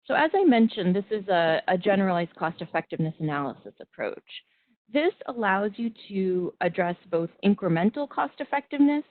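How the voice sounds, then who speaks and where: A woman presenting and moderating a webinar, explaining in a measured way with occasional restarts. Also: on the low side, dry recording. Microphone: video call. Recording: tinny phone-like web call audio.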